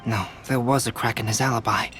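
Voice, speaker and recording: A man voicing a calm, analytical young male alchemist and illustrator in a video game, soft-spoken and logical. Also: mid-range voice, quick pace, faint music behind, close to an everyday voice.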